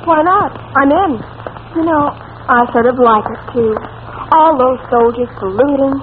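A woman's voice: high voice, measured pace. A woman playing a saucy, headstrong young princess in an old radio comedy, arch and teasing. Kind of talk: 1940s radio comedy acting. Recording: tinny old-time radio recording, faint noise.

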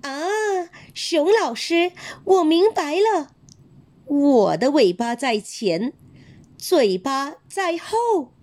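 Woman voicing little animal pupils, squeaky, puzzled, lively. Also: extremely slow, exaggerated voice. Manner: high, whiny, questioning.

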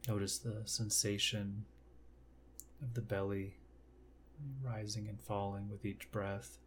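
A man leading a guided meditation, speaking gently and unhurriedly in short phrases with long pauses. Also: lowish voice, monotone, a little hiss or hum.

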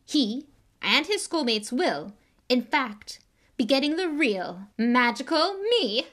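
A woman voicing a vain, showy grown male wizard celebrity in an audiobook, speaking loudly and theatrically. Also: high voice, expressive, slow pace, voice pushed up, exaggerated voice. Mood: boastful. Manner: loud, grand, theatrical announcing. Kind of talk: audiobook character voice.

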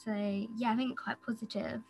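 A girl in her late teens answers interview questions in a casual, hesitant way. Her voice sits fairly high but stays flat and even. She pads her answers with "so" and "like.". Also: a little fast, creaky, husky, poor audio. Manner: hesitant, says "so" and "like" a lot. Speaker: student interviewee.

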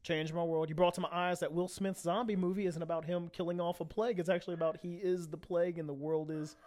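An American man chatting casually as a fill-in podcast guest, telling stories in an animated way and often quoting what was said. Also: high-pitched, fast talker. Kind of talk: casual chat, storytelling.

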